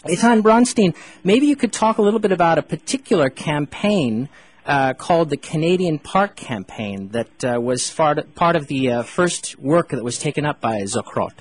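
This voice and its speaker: A male radio host asking questions and reading event announcements in a clear announcer delivery. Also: a little high, expressive, normal speed, no room sound.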